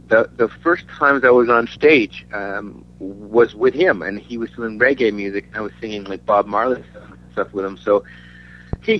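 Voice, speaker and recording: Male musician from Denmark, low voice, halting interview chat, muffled recording with faint hum. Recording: dull lo-fi audio with faint hum.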